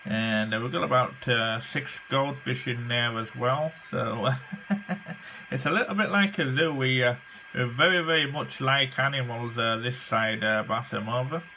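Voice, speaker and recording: A man chatting casually as a radio amateur in short, halting phrases. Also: mid-range voice, vocal fry, bright, forceful tone, low-quality recording. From England. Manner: hesitant, halting delivery. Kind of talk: casual ham radio chat. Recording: faint noise and electrical hum.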